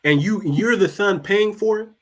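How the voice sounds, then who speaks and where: A man hosting a casual talk show, speaking loosely, repeating and restarting words, and dropping his voice low for emphasis. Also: normal speed, fairly bright tone. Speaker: podcast host.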